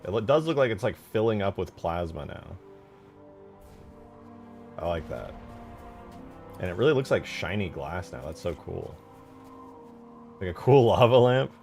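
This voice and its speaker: A man with a low voice talks through his work as a game modder in a casual stream. He mutters to himself in informal slang, thinking aloud. Music plays clearly behind him. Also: big pitch swings, unhurried, long pauses, darkish tone. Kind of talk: casual thinking-aloud commentary while working.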